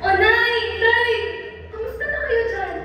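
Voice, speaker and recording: A woman's voice: very high voice, measured pace, bright, forceful tone, a faint crowd, a little hiss or hum, full character voice. A woman playing a loving, reassuring migrant worker daughter on stage, affectionate and emphatic. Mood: eager reassurance. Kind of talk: amateur stage play acting. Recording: echoey hall from far off, music.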